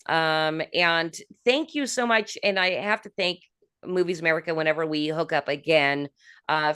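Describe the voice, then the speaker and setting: A woman hosting a livestream interview, giving chatty shout-outs to viewers and asking questions with many fillers. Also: lowish voice, smooth. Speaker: interview host.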